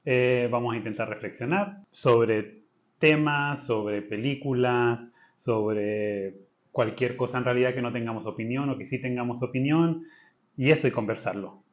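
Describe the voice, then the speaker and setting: A man's voice: medium pitch, a little slow. Man co-hosting a podcast. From Chile. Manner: thinks aloud with restarts, firm on principles. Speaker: podcast co-host. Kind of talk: casual podcast chat. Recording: slightly dull lo-fi sound.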